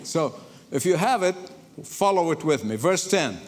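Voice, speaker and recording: A man from Egypt, preaching a sermon to a congregation in lively storytelling, often repeating words as he starts a sentence. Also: fairly high pitch, unhurried. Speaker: pastor. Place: church before a congregation.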